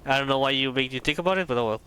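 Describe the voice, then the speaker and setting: A man's voice: mid-range voice, racing, sharp tone, slight background noise. A man giving casual, offhand live commentary as he plays a game, muttering reactions. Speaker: game streamer.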